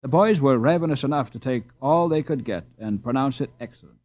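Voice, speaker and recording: A man's voice: medium pitch, fairly quick, dry recording. A man reading a children's novel aloud as a scripted audiobook narration. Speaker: audiobook reader. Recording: slightly dull, dry recording.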